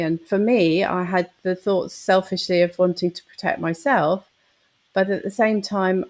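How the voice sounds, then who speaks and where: A woman from the south of England who works as a nurse, telling her story in an articulate, measured way. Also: mid-range voice, a little mellow. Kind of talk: interview testimony.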